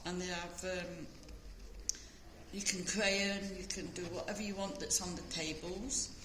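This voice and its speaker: A woman's voice: on the low side, fairly even intonation, measured pace, a bit bright. Talkative older woman, casual chat, echoey room, background noise. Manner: chatty, talkative. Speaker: group member.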